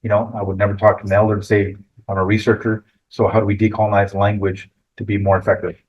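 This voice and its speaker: A man gives an informal lecture on his research, speaking in a halting, improvised way. His voice is fairly low, and the recording sounds dull and lo-fi. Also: level tone. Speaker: book author, researcher giving a talk.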